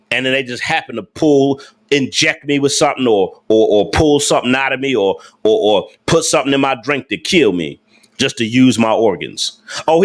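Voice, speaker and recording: A man's voice: mid-range voice, fairly bright tone. A man hosting a casual livestream, talking emphatically to his viewers with slangy speech and repeated rhetorical questions. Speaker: livestream host.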